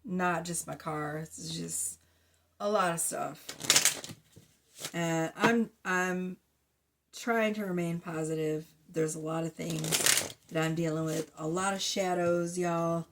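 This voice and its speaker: A woman giving a casual tarot reading to her viewers, blunt and profane in her chatty way, talking through a sore, slightly hoarse throat. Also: on the low side, steady tone, slow, heavy background noise. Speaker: tarot reader.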